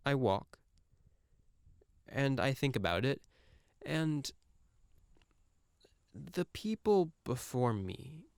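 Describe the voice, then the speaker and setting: A man's voice: mid-range voice, gravelly, smooth, no echo, studio quality. A man performing a scripted first-person monologue in a reflective, musing manner with a wry edge.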